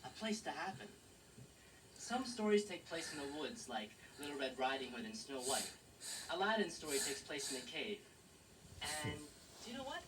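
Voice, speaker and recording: A woman's voice: deep voice, sing-song, moderate pace, noisy background. A woman presents a scripted children's show in a playful, explanatory way for young children. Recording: distant old VHS sound, loud music. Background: music.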